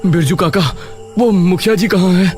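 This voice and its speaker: Young husband, played by the male narrator: anxious, desperate, voice pushed higher, music behind. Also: normal speed, darkish tone, natural voice. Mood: urgent. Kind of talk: horror story dialogue.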